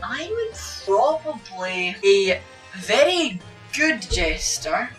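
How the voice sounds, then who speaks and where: A woman's voice: medium pitch, very animated, takes it slowly, fairly bright tone, distant mic. A woman playing a cheeky, theatrical court jester who banters and teases in an improvised skit. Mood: joking, rambling. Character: cheeky court jester cracking ill-timed jokes. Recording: off-mic voice with music behind.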